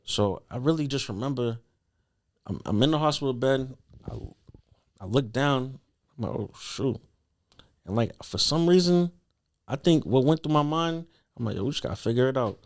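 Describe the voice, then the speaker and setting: A man's voice: mid-range voice, expressive, normal speed, pauses often. A young man telling a personal story as a podcast guest in a casual, slangy, earnest conversational way.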